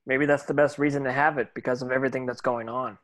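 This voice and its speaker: A man's voice: mid-range voice, steady tone, fast, fairly bright tone, lightly acted. A young man reading the part of a determined, guarded teenage wizard hero at a table read over a video call. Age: young adult.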